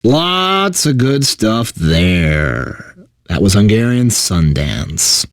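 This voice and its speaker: Sarcastic burnt-out radio DJ, video game voice, exaggerated announcer patter, very animated pitch, close dry studio sound. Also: male voice, very slow, dry recording, full character voice. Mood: weary, sardonic. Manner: exaggerated announcer delivery with sardonic, exasperated asides. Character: bitter, burnt-out male corporate radio DJ, sarcastic and despairing. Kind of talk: radio DJ links with sarcastic asides.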